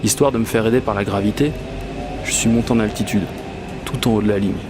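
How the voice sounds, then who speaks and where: A man acting out an obsessive, whimsical dreamer in a radio drama. His low voice confides in a colloquial, self-mocking way, like a private monologue, over clear urban and transit ambience. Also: steady tone, fast talker, background noise, close to an everyday voice. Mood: confiding, determined. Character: obsessive, whimsical Parisian man confiding a magical commuting quest. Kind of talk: first-person radio fiction monologue. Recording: urban and train ambience behind voice.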